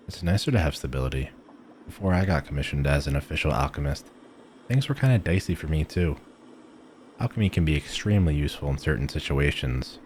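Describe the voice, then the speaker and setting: A man's voice: a bass voice, a little mellow, a little hiss or hum. A man playing a friendly, eccentric village alchemist shopkeeper in a fantasy audio roleplay, chatting eagerly to the listener with musing hums.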